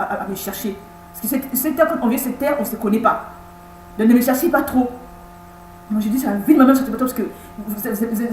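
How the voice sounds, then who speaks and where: A woman in her thirties recording a personal video message, halting and repeating words, insistent and pleading. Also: on the high side, darkish tone. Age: 30s. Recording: echoey room with faint hum.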